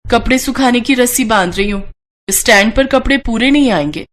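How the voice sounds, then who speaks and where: A woman's voice: fairly high pitch, fairly quick, lightly acted. A woman as harried, caring young housewife. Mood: brisk. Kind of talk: Hindi cartoon moral story acting.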